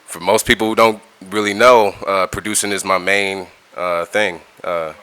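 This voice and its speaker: Deep-voiced laid-back man. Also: fairly even intonation, moderate pace, sharp tone, clean tone. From California, United States. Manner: laid-back and slangy, repeats words and restarts. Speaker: music producer guest. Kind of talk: casual interview talk.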